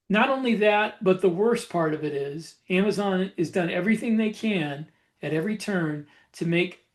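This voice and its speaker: A man with a distinctly high voice for a male speaker talks as a podcast co-host and author. His style is casual and conversational. He speaks haltingly, circling back to repeat and rephrase what he means.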